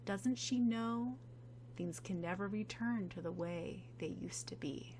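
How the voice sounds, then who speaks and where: A smug sphinx spirit, put on by a woman reading a storybook, drawling its riddles slowly and teasingly. The voice sits at a middle pitch and lingers over each line with mockery. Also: a little mellow, slight background noise, close to an everyday voice. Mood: taunting. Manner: slow, teasing, mocking sing-song.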